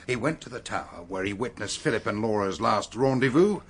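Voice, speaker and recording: An actor in a radio drama playing a deliberate, sceptical detective who reasons and questions. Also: male voice, lowish voice, expressive, husky. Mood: assured. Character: deliberate, probing male detective reasoning out a murder.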